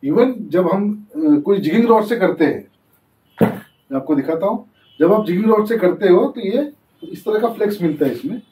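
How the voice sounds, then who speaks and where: A young man teaching fishing as he shows the gear, switching easily to English terms. His voice sits high but sounds mellow and soft-edged. He is recorded from some distance in an echoey space. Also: animated, moderate pace. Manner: explains while demonstrating, mixing in English terms. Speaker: fishing channel host. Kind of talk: casual tutorial demonstration.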